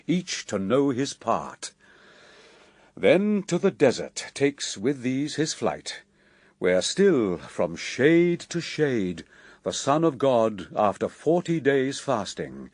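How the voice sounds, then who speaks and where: A man narrating epic verse drama in a stately, measured, expressive manner. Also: medium pitch, no room sound.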